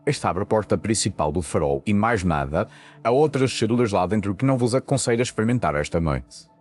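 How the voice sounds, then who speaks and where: A man's voice: fairly low pitch, expressive, quick pace, soft background music. A man playing a weary, cryptic old lighthouse keeper in a ghost story, with European Portuguese speech and a solemn, foreboding manner. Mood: solemn warning. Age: older. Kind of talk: audio ghost story acting.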